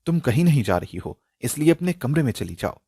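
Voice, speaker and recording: A man's voice: medium pitch, sing-song, a little fast, warm tone, husky, dry recording, clean studio sound. A man voicing a strict, controlling middle-aged mother in a curt, commanding manner, as in an audio story. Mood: stern, angry.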